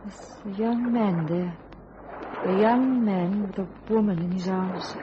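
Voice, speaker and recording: Haunted psychic wife played by an actress, noisy recording. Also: female voice, mid-range voice, measured pace, dull audio, close to an everyday voice. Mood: entranced dread. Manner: intense, trance-like, dramatic. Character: haunted, psychic wife facing her murderous husband. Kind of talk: old-time radio thriller acting. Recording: noisy old radio drama recording.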